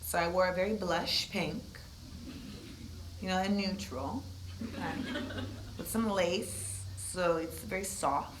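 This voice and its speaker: A woman in her thirties with a deep voice, giving a comedic storytelling talk at a university event with lots of asides. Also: slow pace, pauses often, noisy background. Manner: animated, comedic, conversational with asides. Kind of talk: storytelling talk to a live audience.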